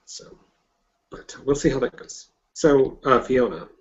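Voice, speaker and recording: Halting male interviewer on a lo-fi call. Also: mid-range voice. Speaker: interviewer, host. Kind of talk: casual interview chat. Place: online video hangout. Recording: dull lo-fi online call.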